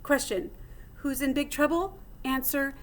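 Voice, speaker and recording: A woman plays a little male hamster diarist from a children's storybook. The voice sits fairly high. He is plucky and dramatic, telling his tale with earnest, theatrical expression. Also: fairly even intonation, a little slow, a little hiss or hum. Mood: gloomy, melodramatic. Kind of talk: storybook read-aloud in first person.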